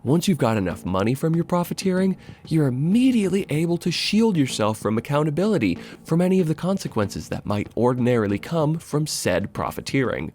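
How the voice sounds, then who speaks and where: A man's voice: fairly high pitch, big pitch swings, brisk, a little mellow, a little hiss or hum. A man narrating a scripted video essay in a conversational, sardonic and emphatic way, with casual asides and swearing.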